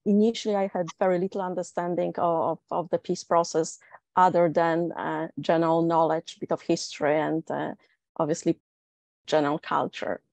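Measured woman with a Polish accent. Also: lowish voice, little pitch movement, creaky, darkish tone, no room sound. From Poland. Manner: measured and reflective, many filler uhs. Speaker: panel speaker. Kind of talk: panel discussion.

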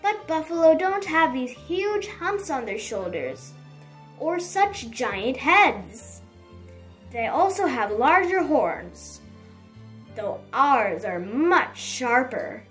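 A woman voicing an excitable, dramatic young male blue bison in a children's storybook, with emphatic, indignant delivery. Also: sits high, slow, faint music behind, exaggerated voice. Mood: earnest, explaining.

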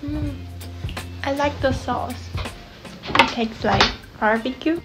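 A woman's voice: high-pitched, slow. A young woman from Taiwan, casually giving reactions in short, simple phrases. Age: young adult. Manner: short, simple phrases in non-native English. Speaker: snack taster. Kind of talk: casual on-camera reaction chat. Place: indoor room with block wall, seated at table. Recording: music behind the voice.

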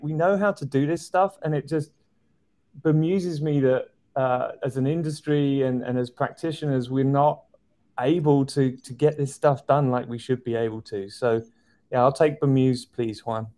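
A middle-aged man guesting on a video call from an office, speaking in a thoughtful, measured way. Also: medium pitch, fairly even intonation, darkish tone. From outside the US. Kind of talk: casual chat on a video call. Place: office indoors. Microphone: video call webcam.

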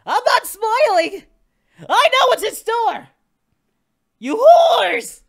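A performer voicing an excitable young male anime hero, hosting a reaction video with melodramatic yelling and wailing. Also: extremely high pitch, takes it slowly, vocal fry, exaggerated voice. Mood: outraged. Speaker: reaction channel host. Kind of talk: over-the-top reaction commentary. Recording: music playing behind.

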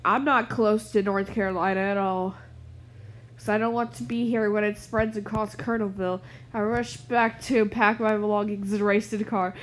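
A woman giving a casual, sweary reaction commentary and reading text aloud, sounding sniffly with a cold. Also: on the high side. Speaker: reaction video host.

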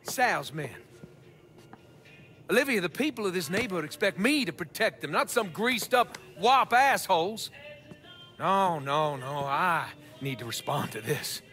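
A man's voice: sits high, expressive, measured pace, pushed and bright, full character voice. An actor playing a haughty, hot-tempered, bigoted middle-aged Southern man in a video game, with an emphatic, oratorical delivery. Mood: indignant, angry. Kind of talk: dramatic video game acting. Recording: music behind the voice.

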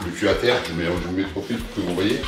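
A man's voice: low-pitched, fast, noisy background. A young man coaching a workout while exercising, cheering on with short, motivating instructions. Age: young adult. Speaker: fitness coach. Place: living room, indoors. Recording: very echoey room, distant mic, music behind. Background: clear music.

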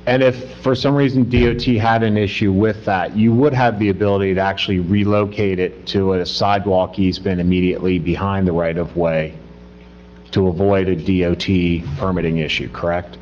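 A man's voice: sits low, steady tone, a little fast, darkish tone, a little hiss or hum. A man at a township board meeting posing a leading question to confirm a point. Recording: distant muffled room recording.

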